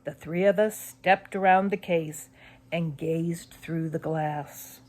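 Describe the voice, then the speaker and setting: A woman reading a spooky children's story as scripted narration, expressive and suspenseful. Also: low voice, takes it slowly. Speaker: audiobook narrator.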